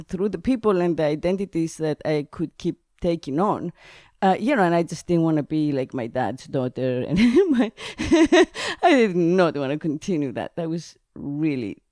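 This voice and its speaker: A woman's voice: low voice, no echo, studio quality. Laughing woman host. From Greece. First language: Greek. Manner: laughs often, chatty and expressive. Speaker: podcast host. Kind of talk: casual conversation.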